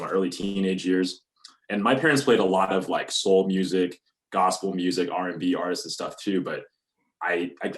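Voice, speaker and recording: A young man from North Alabama with a deep, bassy voice, chatting casually as a musician guest with plenty of "you know" and "um". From North Alabama, USA. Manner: relaxed, many fillers like "you know" and "um". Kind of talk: casual interview talk.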